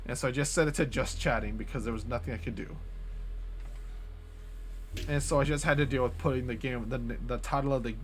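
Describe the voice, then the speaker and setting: A man's voice: medium pitch, fairly even intonation, brisk, pauses often, slight background noise. A man streaming live, chatting casually and rambling, swearing often and restarting his sentences.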